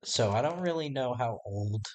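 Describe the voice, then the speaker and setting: A man with a raspy voice, chatting casually and hesitantly to his live-stream viewers while he draws. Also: mid-range voice, expressive, moderate pace, a little mellow. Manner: rambling and hesitant, talking to himself while working. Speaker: artist livestreaming his drawing. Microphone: desk microphone.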